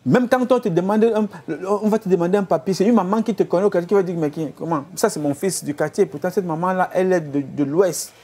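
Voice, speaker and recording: A man's voice: sits high, fast, hardly any pauses, a little mellow, slightly rough. A man from Cameroon, an activist leader giving an impassioned address to his followers, full of repeated exhortations. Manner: exhorting, repetitive rhetorical appeals.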